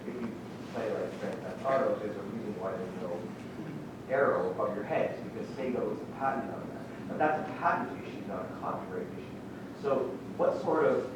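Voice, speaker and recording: A man in the audience asking a long, searching question, pausing to find his words. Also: on the low side. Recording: echoey hall, far from mic, clear noise.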